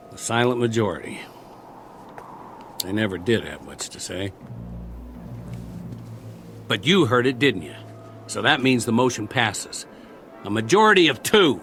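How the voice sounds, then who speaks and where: An actor playing a war-weary, talkative soldier in a radio drama, rambling and wry, lonely and eager to please, stammering when eager. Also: male voice, fairly high pitch, bright, forceful tone, full character voice. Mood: impassioned, declaiming.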